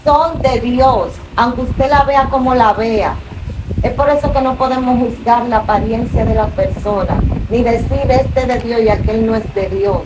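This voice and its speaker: A middle-aged woman from the Dominican Republic with a Dominican accent, a minister telling her faith testimony in an interview with earnest, rising emotion. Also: fairly high pitch. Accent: Dominican Spanish. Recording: distant mic, noisy room.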